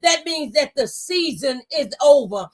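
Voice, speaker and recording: A woman's voice: high-pitched, expressive, unhurried. A middle-aged woman giving a motivational faith testimony, speaking in an emphatic, exhorting way and repeating phrases for effect. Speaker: talk show panelist giving testimony.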